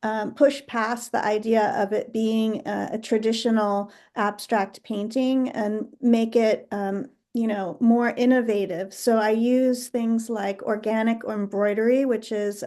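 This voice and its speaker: A middle-aged American woman speaks as a guest in a relaxed interview, taking her time and pausing often with soft fillers. Her voice sits a little high, and her delivery is unhurried. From United States (New Jersey). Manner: slow, thoughtful, many um and uh pauses. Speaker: guest artist. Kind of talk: conversational interview.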